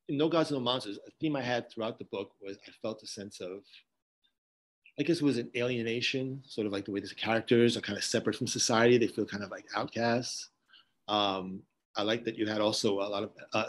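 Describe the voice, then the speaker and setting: A man's voice: on the low side, normal speed. A man hosting a library podcast, asking curious, rambling questions in a casual interview. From Haitian family background.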